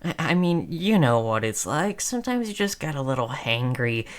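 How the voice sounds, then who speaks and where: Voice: medium pitch, big pitch swings, normal speed, close to an everyday voice. A performer playing a friendly, chatty undead zombie who wheedles in casual slang, in a scripted audio roleplay. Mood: nervous, placating. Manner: casual, cajoling, slangy salesman-like patter.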